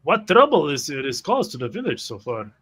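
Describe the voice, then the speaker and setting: Bright-voiced man roleplaying, reasoning aloud. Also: on the high side, big pitch swings, fairly quick, slightly rough. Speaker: tabletop roleplay player. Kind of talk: in-character roleplay mixed with describing actions.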